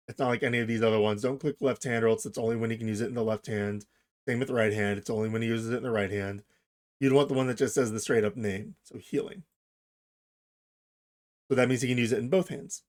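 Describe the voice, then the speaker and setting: A man narrates a software tutorial in a casual, informal way. He walks through things step by step, thinking aloud as he works. His voice sits at a middle pitch. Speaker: tutorial host.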